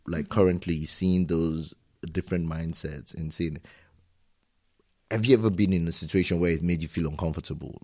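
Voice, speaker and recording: A man's voice: sits low, mellow. A man hosting a casual conversation, talking in an earnest, reflective way about his own experiences as an immigrant. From immigrant living in Germany. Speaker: host.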